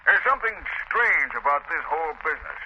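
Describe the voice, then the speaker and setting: An actor playing a brisk, anxious male shipping magnate in 1930s radio drama style. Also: fairly high pitch, very animated. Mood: troubled. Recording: muffled, hissy 1930s radio broadcast.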